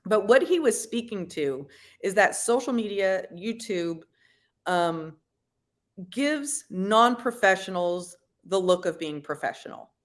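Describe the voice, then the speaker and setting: A woman hosting a livestream, giving commentary to viewers in a halting, deliberate, explanatory way. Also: medium pitch, lively intonation. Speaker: livestream host.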